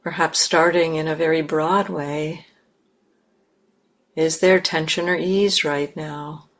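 Low-voiced woman guiding meditation very slowly. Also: fairly even intonation. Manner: measured, with long pauses between short phrases. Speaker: meditation teacher. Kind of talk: guided meditation.

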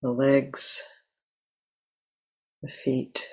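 A woman leading a guided meditation in a gentle, unhurried manner with long pauses. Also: a bass voice, completely flat delivery, slightly rough. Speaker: meditation teacher.

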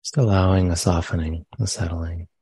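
A man leading a guided meditation as a teacher, speaking gently and unhurriedly with long pauses between phrases. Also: deep voice, fairly even intonation, slight background noise. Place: meditation center.

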